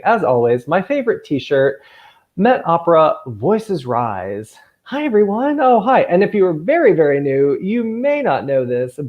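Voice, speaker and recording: A man working as a camp counselor and host, chatting to children in an animated, enthusiastic, gushing way. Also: on the high side, highs cut off. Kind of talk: lively chatty hosting for children.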